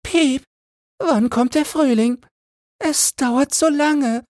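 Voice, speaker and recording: A man's voice: extremely high pitch, slow, a little mellow, no echo, studio quality, voice pushed up. A man voicing a small, cheeky, opinionated sparrow with chirpy, self-assured talk in a fairy tale audiobook. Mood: eager, curious.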